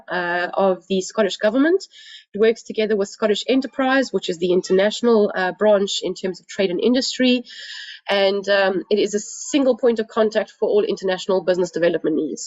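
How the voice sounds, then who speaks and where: A woman from South Africa with a South African accent, a bit croaky from a cold, giving an earnest, polite webinar talk from home with frequent hesitations. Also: mid-range voice, darkish tone, no echo. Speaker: guest speaker. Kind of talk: webinar presentation. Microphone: computer mic on a video call. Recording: dull, dry lo-fi webinar audio.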